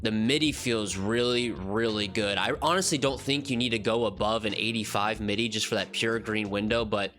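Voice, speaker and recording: Male gaming host with a head cold. Low, bright, slightly nasal voice. Loose, rambling commentary, soft music underneath. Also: moderate pace, few pauses. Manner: relaxed, rambling, frequent ums and restarts. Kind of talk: casual game commentary walkthrough.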